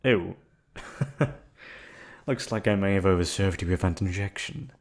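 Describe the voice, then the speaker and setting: A man playing a soft-spoken yandere kidnapper. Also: low voice, a little slow. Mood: menacing. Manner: soft, coolly reasoning, menacing. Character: calm, unhinged male yandere hotel receptionist kidnapper. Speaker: voice actor. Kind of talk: ASMR roleplay audio drama.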